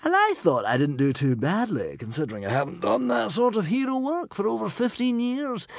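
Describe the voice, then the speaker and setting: A man's voice: sits high, big pitch swings, a little fast, creaky, no echo, clean studio sound, voice pushed up, heavily acted. A man voicing a vain, breezy middle-aged hero who sounds pleased with himself. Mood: breezy boastful. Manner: breezy, self-satisfied.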